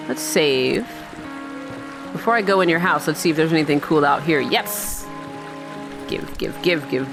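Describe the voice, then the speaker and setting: A woman hosting a gaming video with casual, chatty commentary and joking, sarcastic asides. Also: lowish voice. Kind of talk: casual let's-play commentary. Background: game music faintly behind the voice.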